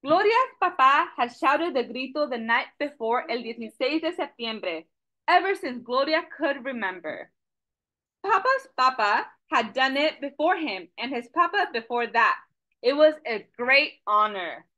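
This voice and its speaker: Lively high-voiced woman reading a picture book. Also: a little slow, rough recording. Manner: animated, asks children questions, switches between English and Spanish. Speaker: storytime reader. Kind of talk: bilingual picture-book read-aloud for children. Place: small office with a Mexico flag poster. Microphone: video call webcam.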